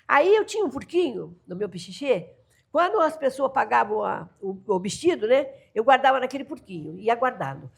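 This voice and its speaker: An older woman from the São Paulo coast with a caiçara accent, telling folk stories in a lively, laughing way as an interview guest. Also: on the high side, gravelly, breathy edge. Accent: Brazilian Portuguese, São Paulo coast (caiçara). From São Paulo coast, Brazil. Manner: lively, laughing, voices family members in dialogue. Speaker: storyteller guest. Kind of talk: animated storytelling of folk tales.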